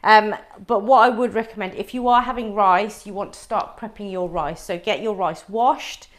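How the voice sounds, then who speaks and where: A woman's voice: medium pitch, steady tone, moderate pace, a little hiss or hum. A middle-aged woman whose first language is Punjabi, chatting as she demonstrates a recipe step by step for viewers in a live cooking class. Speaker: cooking teacher.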